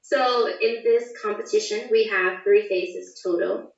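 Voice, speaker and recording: A woman's voice: mid-range voice, a little slow, creaky. Methodical woman in an echoey room. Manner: methodical, formal wording. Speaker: government contract specialist interviewee. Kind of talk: formal, prepared-sounding procedural explanation. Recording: echoey, distant, dull sound.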